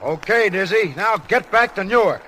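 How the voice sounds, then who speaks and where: A man playing a gruff, protective airfield superintendent in a vintage aviation drama, barking his words forcefully. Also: high voice, lively intonation, moderate pace, a little hiss or hum, rough recording. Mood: urgent.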